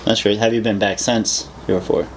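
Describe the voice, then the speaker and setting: A man's voice: lowish voice, steady tone, brisk, a little hiss or hum. A man hosting a casual podcast, teasing his guest playfully and laughing along. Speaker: podcast host. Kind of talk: casual chat.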